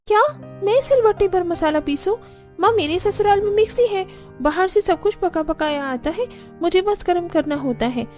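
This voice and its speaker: Woman voicing whiny young cartoon daughter, extremely high pitch, indignant, music behind. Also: lively intonation, normal speed, darkish tone. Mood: whiny refusing. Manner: complaining, indignant. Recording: background music.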